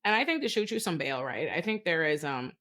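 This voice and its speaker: A woman in her thirties from the Bahamas, talking casually on a podcast and getting animated as she tells a story. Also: low voice. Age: 30s. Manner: fast, animated, emphatic storytelling. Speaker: podcast host. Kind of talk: casual chat.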